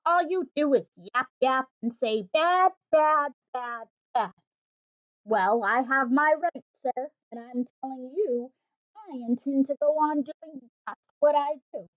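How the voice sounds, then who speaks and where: A greedy factory owner shouts back defiantly, hammering the same words over and over, as a woman puts him on while reading aloud. Her voice sits a little high, and the recording is dull and dry. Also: slow pace, dry recording. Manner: loud, defiant, repeating himself.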